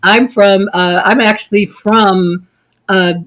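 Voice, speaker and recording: A woman from California hosts a lively online conversation among friends. She talks in a bubbly, chatty way and laughs easily, and her voice sits at an ordinary middle pitch. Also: slow pace. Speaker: host. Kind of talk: casual video-call chat.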